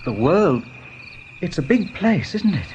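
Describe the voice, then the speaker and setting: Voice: mid-range voice, big pitch swings, a little slow, darkish tone, lo-fi sound, slight background noise. An actor playing a timid, wide-eyed little young rabbit in a radio play. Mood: awed. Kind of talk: radio drama acting.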